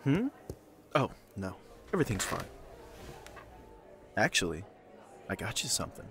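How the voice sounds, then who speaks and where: A man playing a calm, courteous young castle guard in an audio drama, casual and a little hesitant. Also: fairly low pitch, a little slow, gravelly, full character voice. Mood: casual, awkward.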